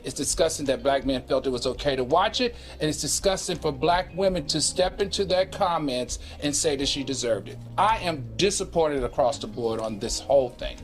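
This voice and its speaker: A man's voice: a little high, lively intonation, normal speed, few pauses, soft background music. Stern, indignant man.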